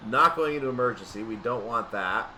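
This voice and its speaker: A man's voice: medium pitch, brisk, bright, forceful tone, far from the mic, a little hiss or hum, poor audio. A man giving casual gameplay commentary on a train simulator, thinking aloud and correcting himself as he goes. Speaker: gaming video host.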